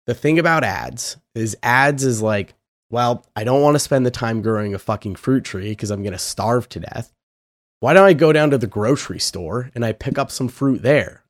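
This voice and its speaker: A young man hosting a casual podcast, his voice hoarse and raspy from yelling, talking loosely and energetically and swearing now and then. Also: medium pitch, expressive, normal speed, dry recording. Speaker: podcast host.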